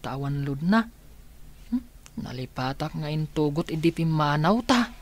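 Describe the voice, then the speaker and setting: A man's voice: on the high side, animated, a little slow. An actor playing a young man helping a lost old grandmother in a radio drama, with plain, practical talk.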